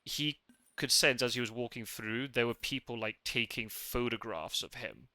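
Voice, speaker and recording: A soft-spoken British man chatting casually, circling back and restarting his thoughts. His voice is very bright and crisp. The sound is dry and close. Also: medium pitch, moderate pace, dry recording. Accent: British English with Scottish upbringing. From England. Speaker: podcast host. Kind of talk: casual conversation.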